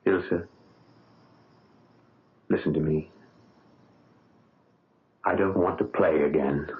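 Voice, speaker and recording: An actor playing a tormented concert pianist, anguished, bitter and intense, in a 1970s radio drama. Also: male voice, extremely low pitch, steady tone, slow, exaggerated voice. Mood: somber. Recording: tinny old radio broadcast with faint hiss.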